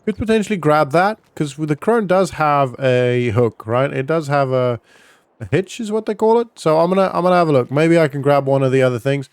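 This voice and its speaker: Male gaming vlogger, smooth clear voice, close dry sound, informal think-aloud roleplay commentary. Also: a little high, lively intonation, brisk, no room sound. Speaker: gaming vlog host. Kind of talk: casual roleplay gameplay commentary.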